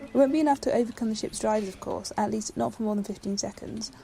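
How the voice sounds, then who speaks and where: A woman playing a brisk, logical young space traveller in a science fiction audio drama, speaking precisely with a slightly pert manner. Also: fairly high pitch, vocal fry, warm tone, slight background noise. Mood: brisk, focused.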